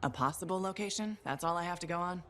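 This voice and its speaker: A quick-talking female freelancer from a video game, played by an actress. She is capable and determined, with a slightly low voice and a brisk, confident delivery. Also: fairly bright tone, a little hiss or hum, natural voice. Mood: businesslike. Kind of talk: video game cinematic acting.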